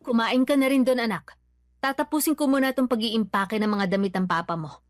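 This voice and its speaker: A woman's voice: a little high, brisk, a little hiss or hum, close to an everyday voice. An actress playing a weary, placating middle-aged mother and wife who tries to keep peace in a radio drama. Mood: pleading. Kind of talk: Tagalog radio drama acting.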